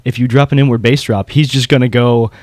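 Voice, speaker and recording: A man's voice: medium pitch, fairly even intonation, a little fast, darkish tone, no echo, studio quality. A man hosting a casual podcast, talking informally and knowledgeably about competitors in his field. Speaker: podcast host.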